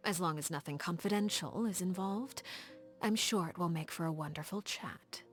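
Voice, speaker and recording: A woman playing a coolly elegant, formal leader of agents in a video game, speaking in a composed, measured and refined way. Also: fairly low pitch, soft background music, lightly acted. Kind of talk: scripted video game character dialogue.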